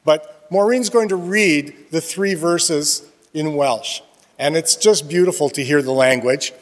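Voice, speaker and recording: A man with a fairly high voice addresses a congregation in a chatty, good-humored way. His words ring out through the long reverb of a church sanctuary. He sounds relaxed and friendly, like he is talking with neighbors. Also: unhurried. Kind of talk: casual announcements during a church service.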